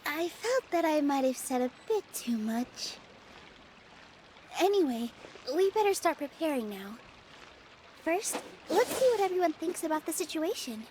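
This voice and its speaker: A woman's voice: sits high, sing-song, unhurried, darkish tone, slight background noise. A woman voicing a young, sweet dancer who speaks politely, earnestly and modestly. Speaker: theater dancer. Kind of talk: game voice acting.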